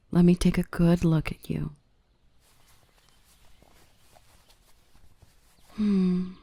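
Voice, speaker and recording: A woman playing a dominant yet protective dark elf mistress in an ASMR roleplay, by turns cutting and tender. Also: lowish voice, vocal fry, no echo, full character voice. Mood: tender, concerned. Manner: stern and cutting to traders, tender and coaxing to the human. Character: dominant female dark elf, protective, gentle with her rescued human.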